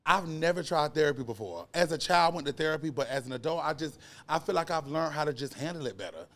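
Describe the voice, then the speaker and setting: A man in his late twenties, a guest on a podcast, with a voice that sits fairly high for a man. He talks casually but with earnest emphasis, leaning hard on key words as he explains himself. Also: fast. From United States. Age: 20s. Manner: earnest, emphatic, animated storytelling. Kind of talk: casual conversation.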